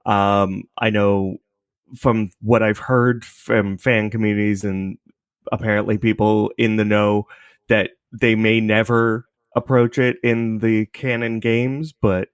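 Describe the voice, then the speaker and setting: Laid-back man, lowish voice, dry sound. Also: no echo. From Canada. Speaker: podcast creator, interview guest. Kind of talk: casual interview chat.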